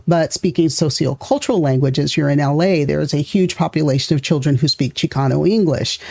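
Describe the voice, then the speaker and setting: A middle-aged woman hosting a podcast interview, talking in a thoughtful, measured way and laughing easily. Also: sits low, gravelly, warm tone. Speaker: podcast host. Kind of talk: conversational interview.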